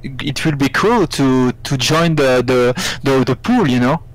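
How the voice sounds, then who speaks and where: A man from Belgium with a Belgian accent, not a native speaker, chatting casually with halting phrasing. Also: medium pitch, animated, no echo, poor audio. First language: not English. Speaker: live chat participant. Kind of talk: casual chat.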